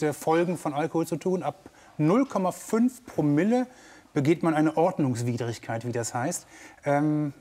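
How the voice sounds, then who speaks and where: Friendly talk show host, slightly high. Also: male voice, darkish tone. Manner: friendly, hesitant fillers, reads viewer questions. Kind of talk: studio talk show moderation. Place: TV studio set.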